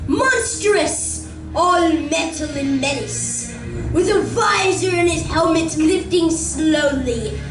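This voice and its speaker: A woman performing as a grave, awestruck narrator of a science fiction radio play, theatrical and suspenseful, with dramatic pauses. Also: extremely high pitch, lively intonation, distant mic, over music, exaggerated voice. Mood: ominous dread. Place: community room of a library, live performance. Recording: roomy live recording from afar, faint noise.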